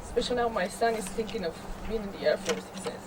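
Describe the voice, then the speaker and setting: A woman's voice: mid-range voice, fast talker. A woman from Peru giving a fluent, earnest, rambling speech as a new citizen. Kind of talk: short public speech. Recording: background noise.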